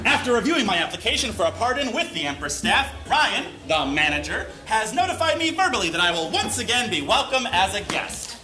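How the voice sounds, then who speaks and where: High, animated man reading comedy on stage. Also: brisk, few pauses, over music. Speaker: live reader of a humorous letter. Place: live stage show. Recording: live stage recording with faint noise.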